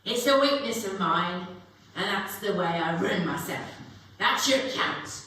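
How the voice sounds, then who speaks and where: A sly, greedy old rag dealer played by a young stage performer banters with a drawn-out, bright and cutting delivery. The figure is theatrical and wheedling, haggling like an old rogue. The sound is distant and heavily echoing. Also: medium pitch, expressive. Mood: grudging. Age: young adult. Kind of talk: theatrical dramatic reading of Dickens. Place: live performance in echoey castle museum room. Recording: roomy echoing museum, distant mic, faint noise.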